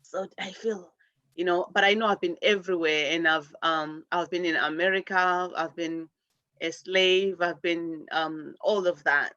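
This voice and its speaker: A woman's voice: on the low side, steady tone, unhurried, sharp tone, no room sound, highs cut off. A woman host from Zimbabwe, rambling loosely in a spiritual chat. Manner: rambling, laughs through her words.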